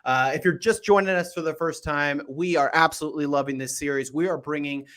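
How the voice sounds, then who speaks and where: A man's voice: on the high side, fairly even intonation, fairly quick, fairly bright tone. A man hosting a podcast, upbeat and chatty, introducing a guest and asking questions with plenty of uhs and restarts. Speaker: podcast host.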